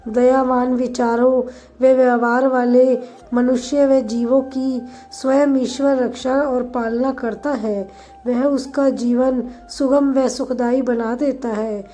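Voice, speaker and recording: A woman tells a moral tale in a fairly high voice, taking her time over each phrase. Her delivery is scripted and instructive, with a softened, mellow tone. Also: fairly even intonation, slow. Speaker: storyteller, channel narrator. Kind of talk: scripted moral story narration.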